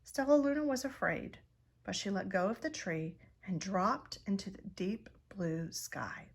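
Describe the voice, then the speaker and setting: A woman reading a children's picture book aloud in an expressive, dramatised way. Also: medium pitch, slightly rough. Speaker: storybook reader.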